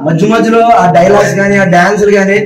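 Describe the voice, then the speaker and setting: A man giving an excited, emphatic film review to a public interviewer, often shouting and breaking into rhyming slogans. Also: high voice, quick pace, crowd noise. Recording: echoey, noisy, distant from mic.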